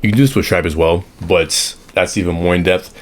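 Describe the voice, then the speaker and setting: A young man with a hoarse voice, just over an illness, giving a rambling casual tutorial on automations and correcting himself as he sketches on an iPad. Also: low voice, steady tone, a little fast. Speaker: automation consultant. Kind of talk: casual screen-share tutorial.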